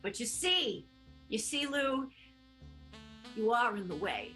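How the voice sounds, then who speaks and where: Casting: actress as cool, sullen rival lover for a radio soap; fairly high voice, slow halting delivery. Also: female voice, very animated, bright, forceful tone, faint music behind, exaggerated voice, low-quality recording. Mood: quietly menacing. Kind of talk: improvised radio soap acting.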